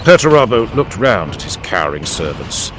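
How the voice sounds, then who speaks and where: A man's voice: fairly low pitch, sing-song, normal speed, very noisy. A man reading dramatic scripted narration as an audiobook narrator. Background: music and added sound effects.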